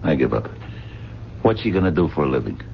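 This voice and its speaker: Wildly animated, skeptical streetwise man. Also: extremely low pitch, fairly quick, creaky, exaggerated voice. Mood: skeptical, exasperated. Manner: exasperated, streetwise, questioning. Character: blunt, skeptical, protective male friend named Muldoon. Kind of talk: radio drama acting. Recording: dull, noisy 1970s radio recording.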